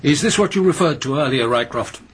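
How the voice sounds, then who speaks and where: An actor playing a gruff, terse retired English army major in a radio mystery drama. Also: male voice, mid-range voice, lively intonation, brisk, fairly bright tone, natural voice. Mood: reluctant, curt. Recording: faint background noise.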